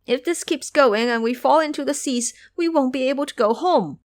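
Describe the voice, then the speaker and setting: A woman voicing a gullible, excitable little male demon imp who exclaims and asks wide-eyed questions in an audio storybook. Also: sits high, normal speed, no echo, studio quality, voice pushed up, natural voice. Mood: panicked.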